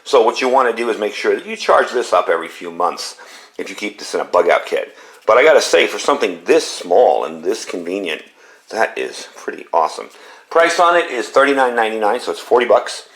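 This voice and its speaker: A man's voice: fairly low pitch, sing-song, a little fast, fairly bright tone. A man giving a casual, plain-spoken, hands-on product review in a conversational, explanatory way. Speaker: gadget reviewer presenting a product demonstration. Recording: noisy, with radio static and background hiss.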